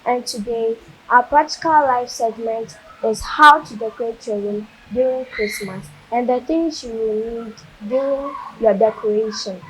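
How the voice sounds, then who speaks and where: Young woman host giving instructions to viewers, slightly high, light hiss. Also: measured pace, low-quality recording. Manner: instructional, addresses viewers directly. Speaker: segment host. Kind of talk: presenting a how-to segment. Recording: faint hiss.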